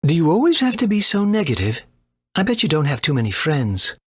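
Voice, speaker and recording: An actor playing an eccentric, boastful doctor and inventor in a video game, brusque and quick to scold. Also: male voice, fairly high pitch, wildly swooping pitch, a bit bright, husky, no room sound, studio quality, exaggerated voice. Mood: scornful. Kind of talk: animated video game voice acting.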